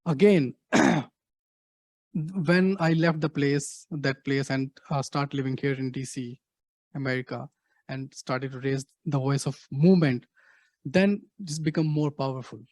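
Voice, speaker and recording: A middle-aged man with an Indian accent answering audience questions in a halting way, full of fillers and repeated "actually". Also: mid-range voice, fairly even intonation, darkish tone, no room sound. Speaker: guest speaker, activist.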